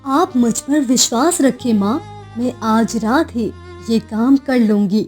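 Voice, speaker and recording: A woman voicing an innocent, devoted young orphan girl in an animated moral story, emotional, pleading and halting. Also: a little high, soft background music. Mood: earnest, determined. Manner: pleading, tearful, halting repetitions.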